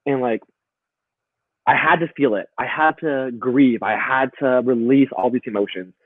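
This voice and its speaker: A man's voice: mid-range voice, moderate pace, no echo. Earnest man on a tinny call line. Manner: earnest, emphatic, laughs often. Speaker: guest. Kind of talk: casual chat. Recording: tinny phone-like line, dry.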